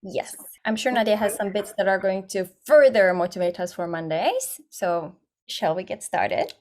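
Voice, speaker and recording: Woman hosting a casual podcast, mid pitch. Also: animated, moderate pace, darkish tone. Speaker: podcast host.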